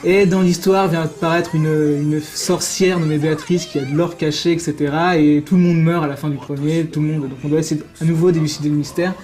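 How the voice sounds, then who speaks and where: Quick, mellow higher-pitched man. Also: level tone. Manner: informal, rambling explanations. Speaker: guest presenting visual novels. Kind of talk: casual enthusiast explanation.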